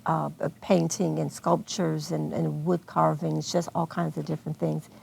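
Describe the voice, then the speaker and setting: A woman with an American accent speaks in a notably low register. Her voice is very creaky and gravelly. She answers as an event organizer in an unscripted television interview. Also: level tone, moderate pace, dark tone, slight background noise. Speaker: guest, arts festival organizer.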